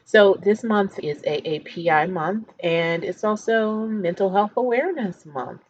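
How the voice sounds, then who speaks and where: A woman's voice: medium pitch, animated, unhurried, dull audio. A woman podcast host introducing a show in a conversational, appreciative way. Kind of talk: podcast introduction, chatty with some reading from notes.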